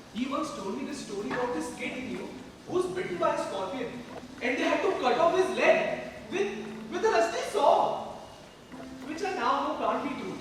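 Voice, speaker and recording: A man's voice: very high voice, noisy background. A man acting an earnest young character in a theatrical stage drama. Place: stage in a large hall. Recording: very distant, echoey hall with music.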